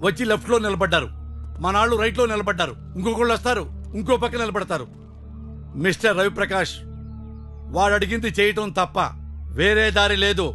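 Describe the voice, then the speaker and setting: Wry blunt man, high pitch, forceful bright tone, emphatic short phrases, film drama. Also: fairly quick, pauses often. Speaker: film actor. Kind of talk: film dialogue. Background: faint film music.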